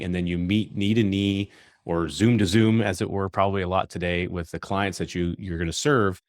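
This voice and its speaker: Very deep guy cohost, slow halting questions, dry sound. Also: no echo. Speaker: podcast co-host. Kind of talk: interview questions.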